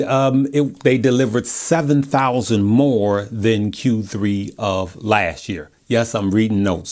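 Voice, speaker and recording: American dude, kinda low voice, casual chatty video host talking straight to viewers, slangy. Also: male voice. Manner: informal, colloquial, chatty, addresses viewers directly. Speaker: host of a stock investing video channel. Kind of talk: casual chat, giving stock commentary from notes.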